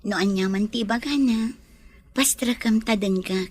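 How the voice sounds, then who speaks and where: A woman's voice: on the high side, moderate pace, close to an everyday voice. A woman acting as a firm, questioning lady of the house in a radio drama.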